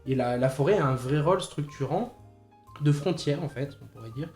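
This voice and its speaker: A man's voice: medium pitch, lively intonation, normal speed, darkish tone. A man discussing films casually, hesitating and repeating words as he thinks. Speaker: podcast co-host. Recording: slightly roomy podcast recording with music behind.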